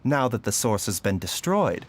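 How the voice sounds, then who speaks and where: An actor playing a calm, composed male doctor in a game cutscene, speaking in a measured, polite, explanatory way. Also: lowish voice. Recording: game audio with music behind.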